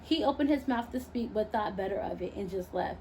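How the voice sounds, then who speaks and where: A woman reading her own novel aloud as expressive first-person narration. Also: medium pitch, brisk, a little hiss or hum.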